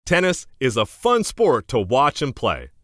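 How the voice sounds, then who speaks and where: Man reading aloud with lively, swooping intonation. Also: mid-range voice, measured pace, no echo, studio quality. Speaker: narrator reading a children's book aloud. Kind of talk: scripted educational read-aloud.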